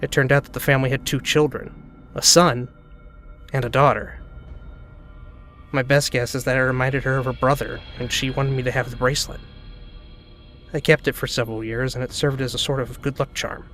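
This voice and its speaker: A man's voice: medium pitch, flat delivery, brisk, pauses often, a bit bright. A man giving a dramatic narrated reading of a spooky first-person story. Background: background music.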